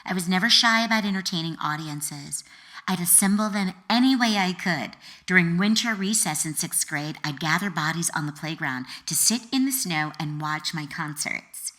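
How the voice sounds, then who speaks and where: An American woman reading her memoir as an author, with dramatic, deliberate phrasing and acted-out dialogue. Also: fairly low pitch, sharp tone. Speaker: author reading own book. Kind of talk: expressive audiobook memoir narration.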